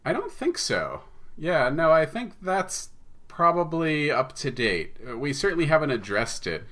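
A man's voice: a little high, sing-song, measured pace, pushed and bright. A man speaking as an interview guest, explaining and telling stories in a conversational way. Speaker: podcast guest, audio drama writer.

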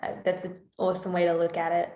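A woman's voice: fairly low pitch, monotone, fast, dark tone. A woman singer-songwriter with a Canadian-sounding accent, chatting thoughtfully in an interview and laughing lightly as she talks. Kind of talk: casual interview chat. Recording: roomy echo.

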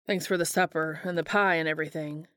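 Woman reading as a subdued, weary man, low-toned. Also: steady tone, fast talker, a bit bright, no room sound. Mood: subdued, grateful. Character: weary adult man, earnest and longing brother.

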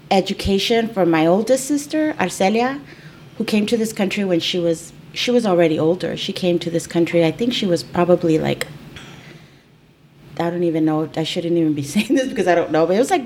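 A woman's voice: on the low side, brisk, a little mellow, slight background noise. A woman who grew up speaking Spanish at home, hosting a podcast in a chatty, encouraging way with many affirmations. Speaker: podcast host, employment attorney. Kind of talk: conversational interview.

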